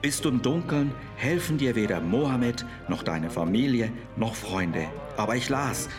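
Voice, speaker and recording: A man's voice: mid-range voice, expressive, measured pace. A man giving an earnest voice-over translation of a personal testimony. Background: music.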